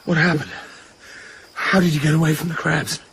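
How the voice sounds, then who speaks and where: An actor playing a tough, grimly determined British spy in a radio drama, speaking tersely and urgently. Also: male voice, on the high side, little pitch movement, moderate pace, creaky, background noise. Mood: weary, relieved.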